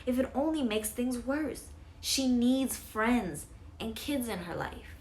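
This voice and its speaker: A stern, worried storybook mother, as a woman narrator plays her, insistent and fretful. The pitch sits raised above an everyday voice, with faint noise behind. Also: lively intonation, slow pace, a little mellow.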